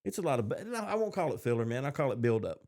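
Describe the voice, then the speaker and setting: Man, mid-pitched, gravelly fry, casual podcast co-host, bantering TV discussion. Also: big pitch swings, very fast, a little mellow.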